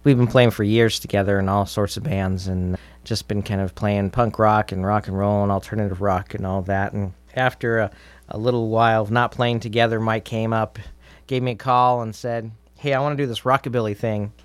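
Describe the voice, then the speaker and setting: A middle-aged man chatting casually as an interview guest, telling stories in a halting, easygoing way. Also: lowish voice, little pitch movement, no echo. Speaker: interview guest, drummer in a band.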